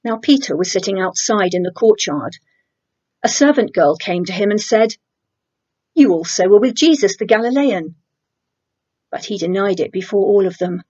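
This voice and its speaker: A middle-aged woman reads scripture as a dramatic narrative. Her delivery is measured and expressive at a medium pitch. The sound is a little muffled and lo-fi, recorded indoors at home. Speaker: church congregation member reading scripture. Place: indoor room at home with bookshelves. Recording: dull lo-fi home webcam sound.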